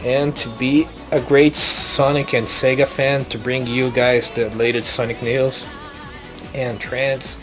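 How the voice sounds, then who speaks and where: Young Brazilian man, Brazilian Portuguese accent, halting and earnest, casual home-made vlog. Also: medium pitch, flat delivery, music in the background, poor audio. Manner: halting, many restarts and self-corrections, earnest. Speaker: YouTuber.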